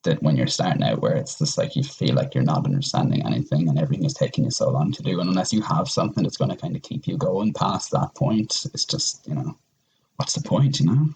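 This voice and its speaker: A man's voice: a bass voice, animated, fast talker, gravelly, a little mellow, husky, rough recording. A man talking casually as a podcast guest, in a rambling, self-correcting way. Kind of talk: casual conversational discussion.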